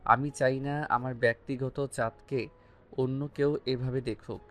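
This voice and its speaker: A possessive young man in love, acted by a man, talks earnestly with an even, little-varying pitch. It is a romantic audio drama with music behind. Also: a little slow, close to an everyday voice.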